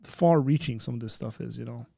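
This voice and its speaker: A man in his thirties hosts a relaxed live stream chat, rambling along with lots of fillers and restarts. His voice sits at a middle pitch, and the recording is close and dry with no room sound. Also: brisk, vocal fry, dry recording. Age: 30s. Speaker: host of a live birthday stream. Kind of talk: casual chat. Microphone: streaming setup with green screen.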